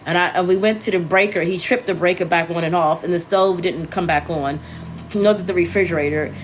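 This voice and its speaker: A woman's voice: on the low side, fairly quick. A congested, scratchy-throated woman hosting a casual livestream, rambling and correcting herself as she talks to viewers. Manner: meandering, self-correcting, talking to viewers. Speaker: livestream host.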